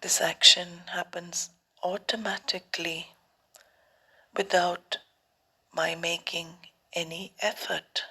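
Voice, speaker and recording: Very slow, breathy woman guiding meditation. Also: fairly low pitch. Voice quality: soft, breathy, often near a whisper. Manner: calm, deliberate, long pauses between short phrases. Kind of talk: guided meditation.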